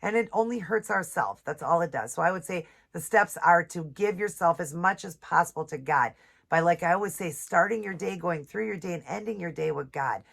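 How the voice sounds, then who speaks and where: A woman with a stuffed-up voice from a cold, chatting casually and reading devotional passages slowly. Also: fairly low pitch, animated, creaky, a bit bright. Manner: chatty, reads scripture slowly, apologizes often. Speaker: nail and devotional vlogger. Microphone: ring light setup, camera close.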